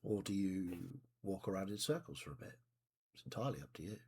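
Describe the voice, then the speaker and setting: A man's voice: deep voice, expressive, warm tone, breathy edge. A man narrating as game master of a tabletop game, describing scenes and asking players what they do. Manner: descriptive, measured, with asides. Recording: voice chat, live stream.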